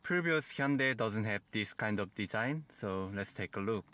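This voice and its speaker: A man with a Korean accent, whose first language is Korean, gives a car review walkthrough in plain, halting phrases. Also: fairly low pitch, lively intonation, bright, forceful tone, a little hiss or hum. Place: in and around a car.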